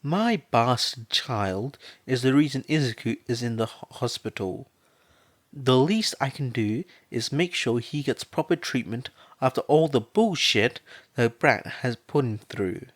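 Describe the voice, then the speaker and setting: A man voicing a brash, foul-mouthed middle-aged mother who swears and stammers, raised high and emotional. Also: lively intonation, unhurried, no echo. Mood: determined.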